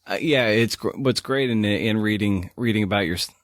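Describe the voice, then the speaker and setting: Low-voiced podcast host, dry sound. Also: male voice, moderate pace, no room sound. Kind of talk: podcast hosting.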